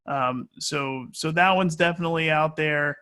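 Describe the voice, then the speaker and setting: A man with a fairly high, bright voice gives casual interview answers. He sounds like a sports announcer off duty, hesitating and working out his thoughts aloud as he goes. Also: moderate pace, clear, resonant tone. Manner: hesitant, thinking aloud with frequent stalling.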